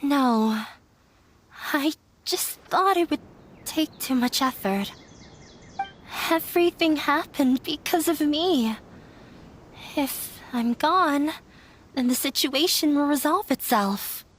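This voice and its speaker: An actress playing a dejected, self-blaming young runaway daughter in a video game, with a soft youthful voice and a melancholy, resigned manner. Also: female voice, high voice, animated, slight background noise. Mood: resigned, guilty. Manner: slow, resigned, melancholy delivery. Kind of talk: English video game voice acting. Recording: music behind the voice.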